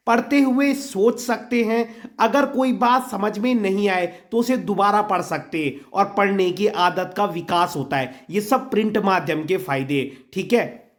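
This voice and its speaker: A man with a strikingly high voice teaches an exam-prep lesson while his throat is sore. He speaks straight to his students, numbers each point and goes back over the list, and he keeps checking in with them as he goes. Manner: addresses students directly, lists points and repeats them; often checks in with "theek hai".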